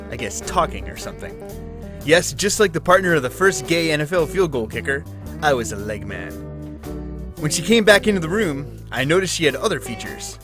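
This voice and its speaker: Man, detective narrator, dry deadpan noir parody read, guitar bed underneath. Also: fairly high pitch.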